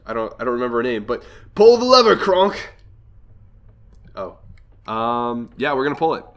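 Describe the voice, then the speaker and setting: A man's voice: mid-range voice, very animated, moderate pace, a bit bright. A young man hosting a let's play, chatting casually and thinking aloud as he plays, his voice a little nasal from a cold. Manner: chatty, thinks aloud, reads game text aloud. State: has a cold, slightly nasal. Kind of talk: casual gameplay commentary.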